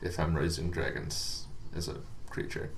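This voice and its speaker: A man's voice: rumbling low, steady tone, normal speed, slight background noise. A man hosting a casual, informal explainer on tabletop game monsters, talking in a rambling, hesitant way as if thinking aloud.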